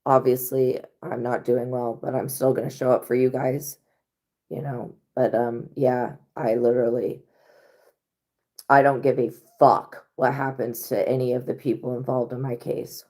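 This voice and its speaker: A woman tarot reader hosting a live stream in a casual monologue, with a hoarse, worn-out voice and a blunt, profane way of talking. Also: extremely low pitch, flat delivery, moderate pace, dark tone. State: hoarse, lost voice.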